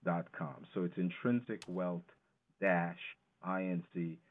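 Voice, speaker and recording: Male expert guest, low register, emphatic explainer with rhetorical questions, phone-quality audio. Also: steady tone, slow pace. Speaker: financial educator, interview guest. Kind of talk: explanatory radio interview talk. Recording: narrow, tinny phone-line sound.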